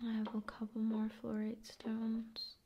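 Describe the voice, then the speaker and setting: A woman doing ASMR in a soft, often whispered voice, with unhurried, soothing phrasing. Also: a little high, completely flat delivery, dull audio, a little hiss or hum. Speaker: ASMR video creator.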